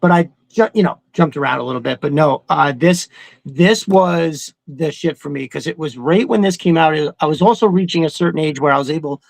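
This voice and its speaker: A man's voice: on the high side, fairly quick, vocal fry, dry recording. A man chatting casually as a podcast co-host, enthusiastic and rambling, with some swearing thrown in. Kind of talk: casual chat about cartoons.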